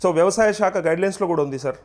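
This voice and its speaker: A man's voice: high-pitched, very fast. A male journalist with a Telangana Telugu accent hosting live political commentary, pressing his points with repeated rhetorical questions. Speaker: journalist and TV host.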